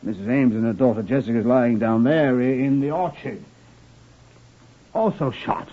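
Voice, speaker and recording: An actor playing a plain-spoken village chief constable with a British accent, matter-of-fact and deferential, in an old radio drama. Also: male voice, medium pitch, moderate pace, lightly acted. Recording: dull, faintly noisy old radio recording.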